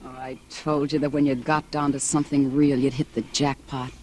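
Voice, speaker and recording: A woman's voice: rumbling low, flat delivery, fairly quick, a little mellow. A nervy, brassy female accomplice in an old radio crime play. She talks in hard-boiled slang, with faint noise on the vintage recording. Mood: brassy, pleased. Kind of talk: 1940s radio acting.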